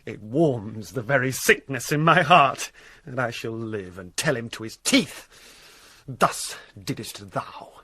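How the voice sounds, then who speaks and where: A man's voice: mid-range voice, expressive, slow pace, pushed and bright, husky, no echo, exaggerated voice. An actor playing a proud, grieving young nobleman bent on revenge, earnest and formal, in classical Shakespearean style with a British accent. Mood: eager, resolute.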